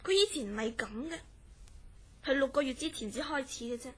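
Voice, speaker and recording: An actress playing a concerned young woman in a Cantonese horror radio drama, telling gravely of her troubled friend with a Hong Kong accent and measured, polite delivery. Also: sits high, lively intonation. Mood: earnest, grave.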